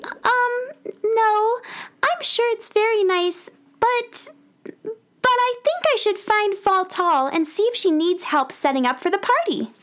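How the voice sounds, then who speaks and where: A woman playing a curious, polite, excitable young girl with a girlish voice in a children's radio play. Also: slow pace, exaggerated voice. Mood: hesitant, polite. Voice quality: high, girlish. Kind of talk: children's radio theater acting.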